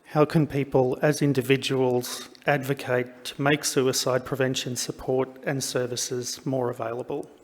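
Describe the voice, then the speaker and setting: A man's voice: medium pitch, flat delivery, a little slow, few pauses. Man hosting a conference in a hall. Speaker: event host.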